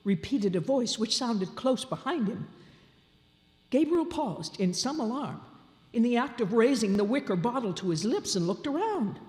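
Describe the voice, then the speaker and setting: A woman giving a slow, expressive dramatic reading of a classic story at a live show. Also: fairly high pitch. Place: large town hall stage, live show. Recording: roomy hall reverb.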